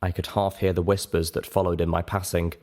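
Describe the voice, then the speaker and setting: A man reading a first-person story as narrator, in an expressive, dramatic style. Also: low voice, a little fast.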